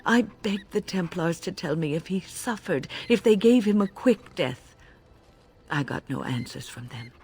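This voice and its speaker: An actress playing an older female mage mentor in a fantasy game, measured and confessional, full of grave regret and compassion. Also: on the low side, expressive, a little mellow, breathy edge, soft background music, heavily acted. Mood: grieving, remorseful. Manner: measured, reflective, confessional, emotive. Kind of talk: dramatic scripted game dialogue, storytelling.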